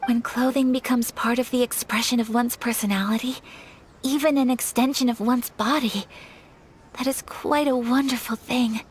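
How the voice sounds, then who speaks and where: A woman voicing a graceful young noblewoman in a game, speaking formally, gently and in a measured way. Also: on the high side, fairly even intonation, slight background noise, natural voice. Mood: gentle. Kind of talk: game voice acting.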